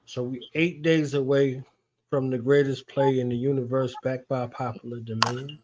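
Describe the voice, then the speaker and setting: A man's voice: medium pitch, level tone, moderate pace, a little mellow, a little hiss or hum. A man hosting a casual interview, talking enthusiastically and emphatically, often repeating his phrases. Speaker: radio show host. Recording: slightly dull lo-fi audio.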